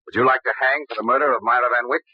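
An actor playing a sardonic, menacing man in an old-time radio mystery, husky and coolly taunting. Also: medium pitch, steady tone, racing, no room sound, close to an everyday voice. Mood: coolly threatening. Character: smooth-talking, menacing, sardonic male murder suspect. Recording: dull old radio recording.

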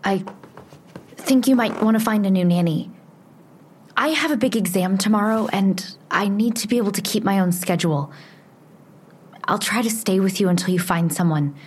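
An actress playing a young nanny and student, upset but kind, in an audio drama. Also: female voice, medium pitch, moderate pace, pauses often, slight background noise, lightly acted. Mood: upset, reluctant.

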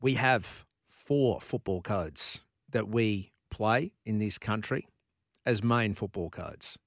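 A man's voice: fairly low pitch, slow pace, vocal fry, no echo, studio quality. A man from Brisbane with an Australian accent, hosting a talkback sports radio show and firing rhetorical questions at listeners.

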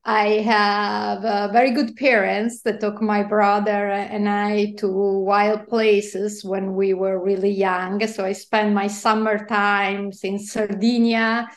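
A woman from Italy with an Italian accent, speaking as an interview guest in halting phrases with many fillers and soft laughs. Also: medium pitch, little pitch movement, echoey room. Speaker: guest, marine biologist. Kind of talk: interview conversation.

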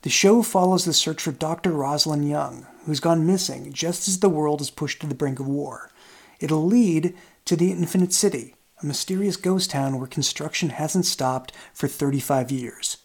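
A show creator of indeterminate-sounding gender giving a measured, earnest scripted introduction. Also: medium pitch, breathy edge. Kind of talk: scripted promotional narration.

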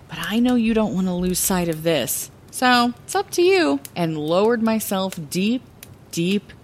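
Woman acting scrappy young female lighthouse keeper, swooping expressive pitch, conversational fantasy storytelling, faint rumble behind. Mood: storytelling, playful. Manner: expressive, hesitant, conversational asides. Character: Zellera, chatty, scrappy young woman lighthouse keeper recounting secret treasure. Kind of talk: audio drama bedtime-story narration.

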